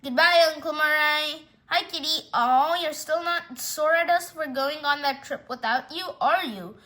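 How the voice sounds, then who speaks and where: A woman's voice: high voice, unhurried, pushed and bright, voice pushed up. A woman voicing a chipper, sweetly reassuring pet owner who coos in a sing-song way, in a storybook reading. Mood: excited, coaxing.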